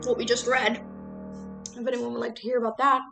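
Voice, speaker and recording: A woman's voice: on the high side, flat delivery, fairly quick, gravelly, pushed and bright. A woman streamer talking casually and chattily straight to her viewers. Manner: chatty, addressing viewers directly. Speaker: streamer hosting a study-with-me chat stream. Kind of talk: casual livestream chat. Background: music.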